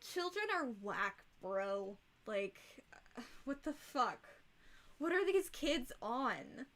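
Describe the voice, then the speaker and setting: A young woman livestreaming with a rough, hoarse voice, chatting casually and bluntly, swearing freely. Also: on the high side, very animated, very slow, fairly bright tone.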